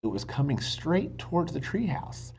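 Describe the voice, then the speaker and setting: A man reading a children's book aloud dramatically, stretching words and dropping into hushed whispers, with teacherly asides to his listeners. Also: a little high, sing-song, moderate pace, vocal fry, a little hiss or hum, low-quality recording. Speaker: read-aloud storyteller and teacher.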